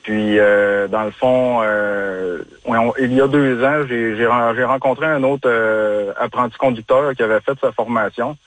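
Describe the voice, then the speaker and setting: A man's voice: mid-range voice, fairly even intonation, fairly bright tone. Hesitant young man with a Quebec accent. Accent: Quebec French. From Chaudière-Appalaches, Quebec. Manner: halting, frequent hesitations and restarts. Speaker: guest, bloodhound handler. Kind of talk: casual radio interview.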